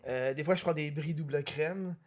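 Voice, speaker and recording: High-voiced teasing Quebec podcast host. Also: male voice. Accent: Quebec French. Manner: teasing, joking, quick to laugh. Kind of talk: casual podcast chat.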